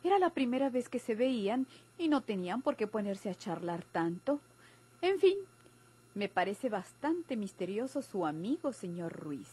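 A woman's voice: medium pitch, sing-song, normal speed, mellow, slightly rough. An actress playing an inquisitive, suspicious young Mexican woman, pointed and insistent, in an old radio drama. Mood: insistent, gossipy. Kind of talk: golden-age Mexican radio drama acting.

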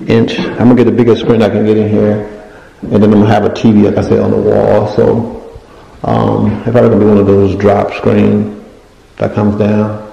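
A man's voice: on the low side, gravelly, mellow, highs cut off. Rambling man in an echoey bare room. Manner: rambling, searches for words, lists things as he points. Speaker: home renovation vlogger. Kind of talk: casual walkthrough narration. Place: unfinished basement room with bare drywall, indoors. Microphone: handheld phone camera.

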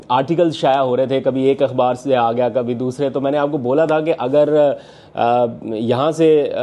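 Mellow-voiced young man vlogging. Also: mid-range voice, lo-fi sound. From Pakistan. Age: young adult. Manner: earnest analytical talk with frequent uh fillers and hand gestures. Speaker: political journalist. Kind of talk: political news vlog. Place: home living room, indoors. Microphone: lapel mic.

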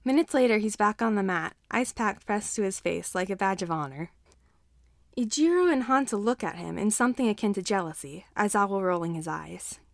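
A woman's voice: medium pitch, animated, brisk, creaky, no echo, clean studio sound. A woman reading a story aloud as a scripted audiobook-style narration.

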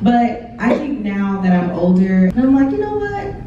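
A woman's voice: medium pitch, very animated, unhurried, dark tone, slight background noise. A young woman from Texas on a panel, talking casually and openly about her work into a handheld mic and quoting her own thoughts. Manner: chatty, candid, quotes her own thoughts. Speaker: YouTuber on a panel. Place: large hall, indoors. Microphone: handheld mic, heard from a far camera. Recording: echoey hall from far off, dull.

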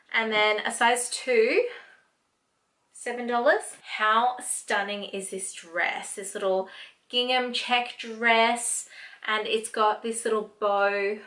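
A woman's voice: mid-range voice, sharp tone. A woman in her thirties with an Australian accent, chatting casually to the camera in a family haul vlog, gushing and rambling as she justifies her purchases. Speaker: family vlogger. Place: bright home room indoors.

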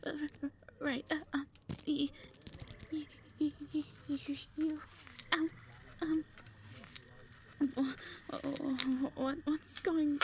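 A woman playing a shy, frightened young owl captive in an audio drama, with a heavy halting stammer. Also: sits high, a little hiss or hum. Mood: nervous, hesitant. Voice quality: heavy stammer, breathy gasps. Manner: timid, halting, pleading. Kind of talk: amateur audio roleplay drama.

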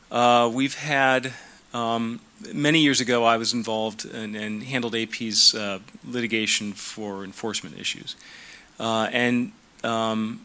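Middle-aged male lawyer, bright voice, hesitant panel answers full of fillers. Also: mid-range voice. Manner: hesitant, many fillers and restarts. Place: conference room. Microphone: handheld mic.

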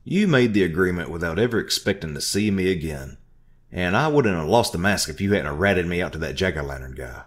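A man's voice: a bass voice, wildly swooping pitch, moderate pace, exaggerated voice. A man doing an indignant young heroine. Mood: accusing. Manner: shouting, accusing. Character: angry young woman heroine, fed up and indignant.

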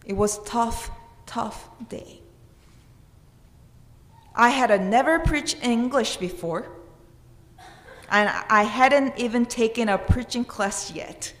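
A woman's voice: on the high side, takes it slowly, pauses often. Korean-accented woman preaching, roomy church. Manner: earnest, with rising emphatic questions. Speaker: pastor. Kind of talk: sermon. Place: church sanctuary at a pulpit. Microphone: pulpit microphone. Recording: roomy church with long reverb.

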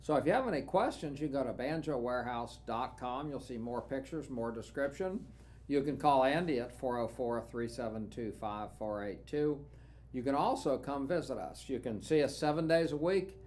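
An older man talks in a relaxed, unhurried way as he shows off a banjo, pausing on "uh" fillers as he goes. His voice sits at an ordinary middle pitch for a man. Faint room noise hums behind him in the small studio. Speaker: banjo dealer. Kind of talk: casual product walkthrough.

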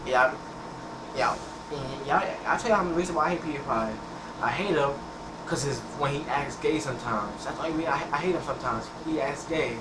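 A teenage man, rambling casually over gameplay and often restarting his words. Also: fairly high pitch. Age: teens. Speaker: gameplay channel host. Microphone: headset mic. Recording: echoey, distant, noisy room sound.

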